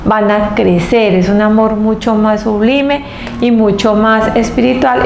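A woman in her thirties with a heavily creaky voice gives a spiritual tarot reading. She speaks earnestly, in short emphatic phrases with pauses between them. The room is echoey, with faint noise behind her. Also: mid-range voice. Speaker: tarot reader and host. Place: small indoor room, seated at table. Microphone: clip-on lapel mic. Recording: roomy echo with faint background noise.

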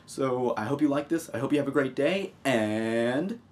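A man's voice: medium pitch, expressive, normal speed. A young American man from Texas giving casual, sarcastic commentary as he reads out news as a video host. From Texas, United States. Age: young adult. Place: small room at a home desk, indoors.

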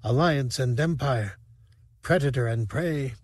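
A man reading the lines of a dying female serpent-like alien warrior, speaking softly, slowly and soothingly. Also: mid-range voice, husky, no echo, clean studio sound, voice pushed up. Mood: calm tender. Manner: soft, slow, hushed and soothing.

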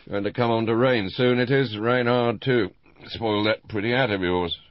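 Kindly barman, calm and soothing, very deep voice, read by a man. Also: normal speed, dry recording, muffled recording, natural voice. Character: easygoing, kindly male pub barman. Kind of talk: audiobook character voice.

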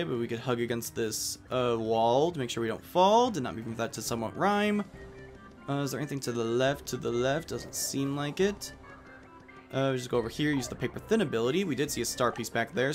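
A man with a mid-pitched voice gives casual commentary as he plays a video game. He drops jokey, self-deprecating asides along the way, and faint game music plays behind him. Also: lively intonation, a little fast. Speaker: let's play host.

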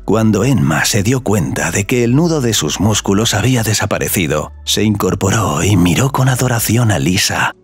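A man's voice: fairly low pitch, lively intonation, normal speed, hardly any pauses, husky. A man narrating a scripted romantic audio story as a storyteller. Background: music.

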